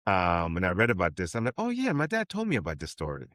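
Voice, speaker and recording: Man, 50s, from Haiti, novelist guest; low, rambling delivery full of restarts, dry booth-like sound. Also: wildly swooping pitch, fast, fairly bright tone, dry recording. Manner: rambling, many restarts and ums. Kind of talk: interview conversation.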